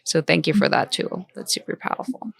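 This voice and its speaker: Gravelly, enthusiastic woman host. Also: fairly low pitch, a little fast, fairly bright tone. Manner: enthusiastic, affirming. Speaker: podcast host. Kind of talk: casual interview chat.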